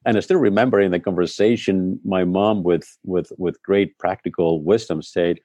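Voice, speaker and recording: Low, mellow Spanish-born man, reflective. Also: normal speed, no echo. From Spain. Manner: reflective storytelling with frequent restarts. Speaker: head of school, guest. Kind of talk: conversational interview.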